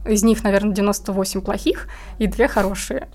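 A woman's voice: mid-range voice, brisk, darkish tone. A woman talking casually and informally as an interview guest, explaining things in a conversational way. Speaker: interview guest, comic artist.

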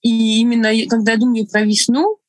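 A woman whose first language is Estonian, speaking with a mixed foreign accent as an interview guest, laughing often and pausing to find her words. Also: on the high side, flat delivery, dry recording. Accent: foreign, mixed from several languages. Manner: laughs often, thoughtful with halting restarts. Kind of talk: relaxed conversational interview.

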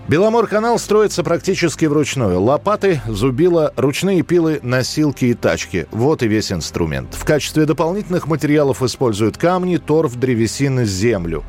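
A male radio host with a medium-pitched voice. He reads scripted documentary narration. Music plays faintly behind him. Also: a little mellow.

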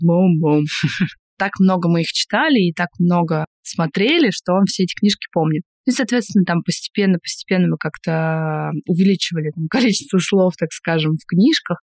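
A woman's voice: lowish voice, darkish tone, no room sound. A woman co-hosting a podcast, chatting casually and explaining things in a talkative way. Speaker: podcast co-host and parent. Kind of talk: casual conversational podcast talk.

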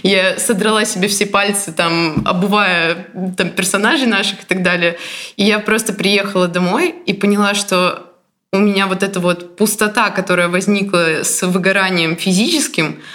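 A woman's voice: mid-range voice, steady tone, normal speed, fairly bright tone. A woman in her late twenties, a costume designer guest, chatting casually and telling stories with frequent giggles. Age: late 20s. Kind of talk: casual podcast chat.

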